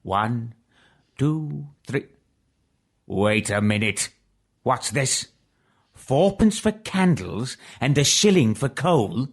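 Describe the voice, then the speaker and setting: An actor playing a grumpy, miserly old businessman with a rough, husky voice in a children's audiobook. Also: medium pitch, expressive, takes it slowly, long pauses, heavily acted. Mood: grumbling, suspicious. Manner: curt, scornful, grumbling.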